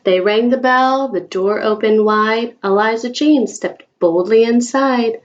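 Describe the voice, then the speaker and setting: A woman reading a picture book aloud to a young child, expressive and coaxing, in the manner of a teacher. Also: a little high, a little slow, dull audio. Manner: expressive, coaxing talk aimed at small children.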